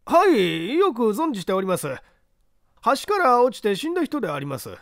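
A man's voice: very high voice, huge pitch swings, moderate pace, fairly bright tone, no echo, clean studio sound, pitched above the performer's own voice, heavily acted. A man playing a deferential construction supervisor testifying formally and earnestly in a mystery story reading.

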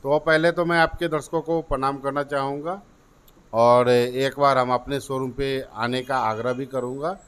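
A middle-aged man, the owner of a car showroom, giving a formal and boastful sales pitch in an office. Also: steady tone, normal speed, a bit bright, smooth. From Bihar, India. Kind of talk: interview answers. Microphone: lapel mic.

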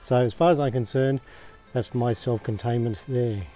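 A man's voice: mid-range voice, steady tone, warm tone, faint music behind. An Australian man talking casually outdoors at home as he walks a camera around his camping gear, with plenty of ums and uhs. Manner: plain, unhurried, many ums and uhs. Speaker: camping vlogger. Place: outdoors, backyard by a caravan.

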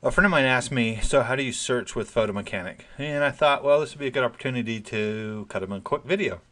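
A man giving an informal, chatty screen-recorded software tutorial, explaining each step plainly and downplaying his own expertise. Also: mid-range voice, quick pace.

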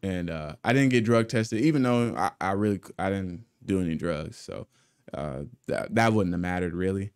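Young dude rambling thru a storytime, cusses a lot, smooth lowish voice, dry close mic in a home setup. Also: male voice, normal speed, a little mellow, dry recording. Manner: laid-back, profane, rambling with restarts. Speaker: channel host. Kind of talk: casual storytime. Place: small home studio with foam panels. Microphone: studio mic with pop filter on arm.

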